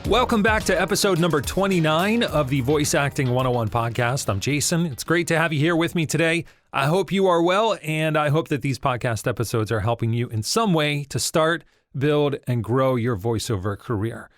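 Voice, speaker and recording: A man hosting a podcast on voice acting, giving steady, friendly advice to beginners. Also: fairly high pitch, normal speed, hardly any pauses, dry recording. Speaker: podcast host and voice-over coach. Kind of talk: scripted podcast teaching.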